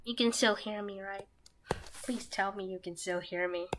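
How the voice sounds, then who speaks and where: A young woman streaming herself while drawing, talking softly and half-whispering, a little nervous, with pen scratching heard behind her. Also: medium pitch, steady tone, normal speed. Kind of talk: casual live-stream chat while drawing. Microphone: desk microphone that picks up pen scratching. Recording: noisy home stream recording.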